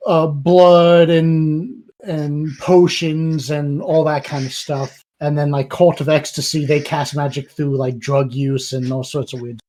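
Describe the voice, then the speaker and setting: A man's voice: fairly high pitch, level tone, a little slow, few pauses, dark tone. A man chatting casually on a podcast, explaining things in rambling runs with many fillers.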